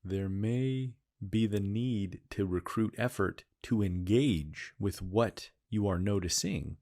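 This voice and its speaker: A man leading a guided meditation, speaking in slow, soothing phrases and repeating gentle cues. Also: on the low side.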